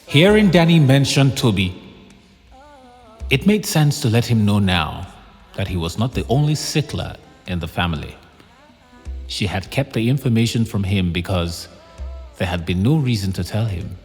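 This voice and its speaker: An actor narrating an audio drama as a dramatic storyteller. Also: male voice, lowish voice, animated, moderate pace, long pauses, darkish tone, lightly acted. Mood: suspenseful. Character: omniscient dramatic male storyteller. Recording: long reverb, faint music bed.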